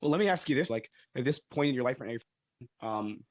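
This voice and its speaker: A man in his late twenties with a mid-pitched voice talks extremely fast on a sales call. He asks probing questions conversationally, in a very dry recording. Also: expressive, no echo. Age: late 20s.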